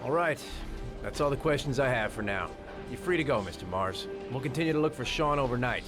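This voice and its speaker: Guy doing a brisk cop, firm questions, lively pitch, music under it. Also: natural voice. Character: brisk male police lieutenant. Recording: game audio with music behind.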